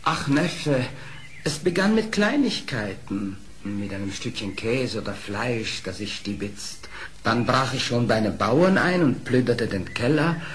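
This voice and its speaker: An actor playing a sly, smooth-tongued fox in a radio play, speaking in a formal, theatrical, pleading manner. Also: male voice, medium pitch, animated, a little slow, natural voice. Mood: remorseful. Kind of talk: classic German radio play acting. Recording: old radio play record, noisy.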